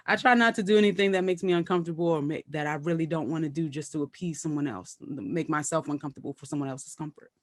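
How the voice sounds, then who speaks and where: A woman with a Brooklyn accent, a comedian guest chatting casually and telling animated stories with frequent laughter. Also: low-pitched, fast talker, few pauses, dry recording, studio quality. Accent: Brooklyn, New York. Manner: laughs often, animated storytelling. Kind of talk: casual interview chat.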